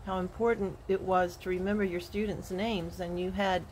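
A woman in her sixties, a retired teacher, sharing a gentle, earnest devotional while recording on her phone on an outdoor porch. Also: on the low side, steady tone, a little hiss or hum, rough recording. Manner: slow, gentle and earnest, with soft laughs. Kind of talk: casual devotional walk-and-talk vlog. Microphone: phone held at arm's length.

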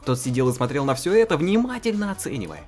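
A young man hosting a casual let's-play, narrating a visual novel and joking about it. His voice moves lively up and down at a medium pitch, with faint music in the background. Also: fast talker. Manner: playful, giggly. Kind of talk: reading a visual novel aloud and chatting.

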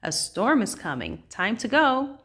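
A determined little girl captain from a picture book, voiced by a woman reader. She is upbeat and earnest, giving orders with very lively intonation. Her words come slowly and deliberately. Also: medium pitch, slightly rough, heavily acted. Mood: excited, urgent. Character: plucky, determined young girl rocket captain.

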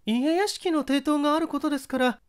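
Man voicing a genteel high-pitched wife. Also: fairly quick. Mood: puzzled. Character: polite, reserved married woman, a victim's wife.

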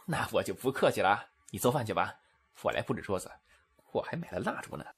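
A man's voice: lowish voice, very animated, fast, gravelly, slightly rough, exaggerated voice. An actor playing a lovesick, persistent and sheepish young businessman in an amateur audio drama. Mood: coaxing.

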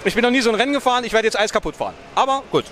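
Male vlog host, thirties, high voice, hyped, slangy, casual, noisy event hall. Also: animated, rapid-fire. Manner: slangy, hyped, self-deprecating. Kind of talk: casual, excited on-location vlog chat. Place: busy glass-fronted event hall with racing simulators. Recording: noisy event hall, vehicle sounds behind.

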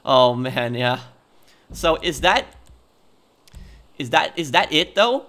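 A man giving casual gameplay commentary in a hesitant, halting way full of ums. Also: fairly high pitch, sing-song, pushed and bright. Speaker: gamer, video host.

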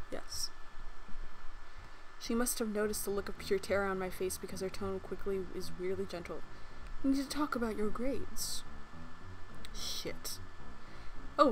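A woman's voice: medium pitch, rough recording. A young woman hosting a let's play, reading a visual novel aloud and joking between lines with a playful, giggly manner and casual swearing. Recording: voice with game music behind. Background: game music.

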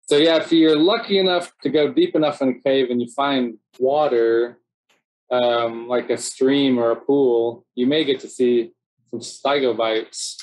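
A middle-aged man, presenting a slideshow in a relaxed, chatty way full of "so yeah" and "um", speaking over a video call from home. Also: medium pitch, measured pace, rough recording. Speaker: city cave biologist presenting. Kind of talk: casual narrated slideshow talk.

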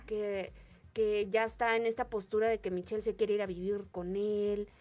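A woman's voice: medium pitch, little pitch movement, moderate pace, darkish tone, soft background music. A young woman with a Mexican accent co-hosting a celebrity gossip radio show, chatting casually and retelling scenes with quoted voices. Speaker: entertainment show co-host. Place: small radio studio booth. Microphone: studio mic on desk stand.

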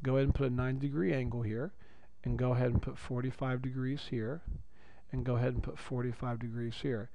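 A man with a raspy voice teaching a geometry lesson, upbeat and chatty, repeating key phrases for his students. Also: mid-range voice, flat delivery, fast talker, muffled recording, background noise. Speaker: math teacher. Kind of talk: recorded classroom lecture.

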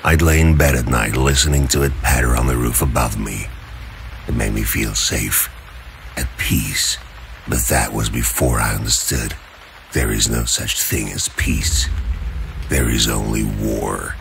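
An actor playing a grim, obsessive, proud male vigilante, gravelly and brooding, in a dark comic-book drama. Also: a bass voice, fairly even intonation, a little hiss or hum, heavily acted. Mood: brooding, menacing. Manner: brooding, terse, deliberate.

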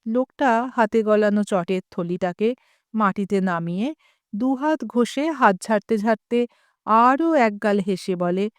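A woman with a Bengali accent reading a story aloud in a slow, clear, expressive way. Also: mid-range voice, dry recording, studio quality. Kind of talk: scripted story narration.

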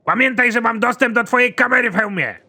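A man playing a bossy, excitable, foul-mouthed army general in an animated comedy, with a commanding, exasperated delivery. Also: extremely high pitch, fast talker, full character voice. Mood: alarmed.

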